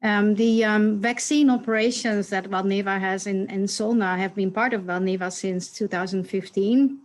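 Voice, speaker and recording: A woman executive giving a measured, explanatory presentation in a webinar. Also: medium pitch, steady tone, poor audio. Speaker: company executive.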